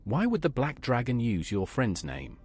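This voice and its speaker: A man voices a game's player character in short scripted lines. His mid-pitched voice is husky and moves through an extremely wide, animated range of intonation. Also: moderate pace, soft background music. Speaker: player character in a video game. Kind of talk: scripted game dialogue, short questions.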